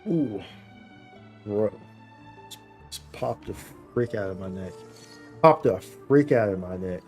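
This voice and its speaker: A man's voice: on the low side, animated, slow pace, faint music behind. A man chatting casually on a live gaming stream, slangy and playful, rambling and often repeating his phrases. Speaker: game streamer, host.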